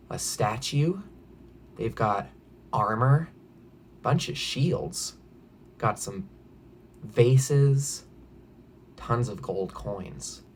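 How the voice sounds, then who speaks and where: A man reading a storybook aloud as a preschool drama teacher, in an exaggerated, animated way, prompting young listeners with questions. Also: medium pitch, a little hiss or hum. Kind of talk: interactive children's read-aloud.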